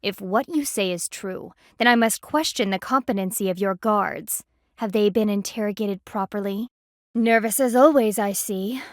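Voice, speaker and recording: A woman's voice: medium pitch, moderate pace, no room sound, studio quality, lightly acted. A woman voicing a stern, haughty panda army lieutenant in a video game, speaking in a formal, clipped, dryly disdainful way.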